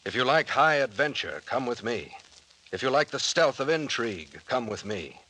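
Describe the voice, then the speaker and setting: An actor playing a bold adventurer who beckons listeners toward danger in a 1940s radio drama. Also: male voice, medium pitch, sing-song, normal speed, sharp tone, breathy edge, exaggerated voice. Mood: inviting, ominous. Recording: very noisy old radio recording.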